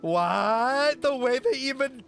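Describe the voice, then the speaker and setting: A man's voice: very high-pitched, lively intonation, slow, creaky, fairly bright tone, soft background music. A man streaming his reaction to a video game, chatty and playful, often exclaiming. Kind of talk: casual reaction commentary.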